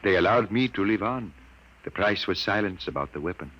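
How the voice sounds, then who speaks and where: A man's voice: sits low, expressive, brisk, a bit bright. A man acting a grave, troubled scientist of sixty-two in a 1950s radio drama. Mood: resigned. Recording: muffled old radio broadcast.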